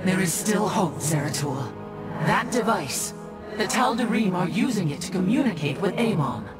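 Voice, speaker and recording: A woman's voice: fairly low pitch, sing-song, normal speed. A woman playing a loyal alien warrior commander in a sci-fi game, formal and earnest. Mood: concerned, steady. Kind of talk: dramatic sci-fi game acting. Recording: loud music behind the voice.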